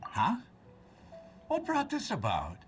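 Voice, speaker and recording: A video game market merchant with a very lively, swinging intonation. The character is casual and blunt, a salesman through and through. There is music behind the voice. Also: high-pitched, slow, far from the mic, full character voice. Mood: baffled, dismissive. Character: friendly but sceptical produce stall merchant.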